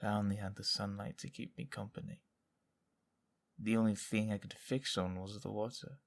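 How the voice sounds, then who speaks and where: An actor playing a haunted, loyal soldier who survived captivity, speaking in a halting, confessional way in an audio drama. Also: male voice, lowish voice, steady tone, gravelly. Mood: bitter, fearful.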